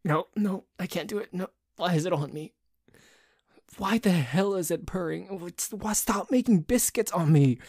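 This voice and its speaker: A man playing a dorky, jealous tsundere boyfriend in an ASMR roleplay, bickering haltingly with a cocky streak. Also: high-pitched, darkish tone, dry recording, clean studio sound. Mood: disgusted, flustered. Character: dorky, jealous, grumbling tsundere boyfriend who dislikes a cat.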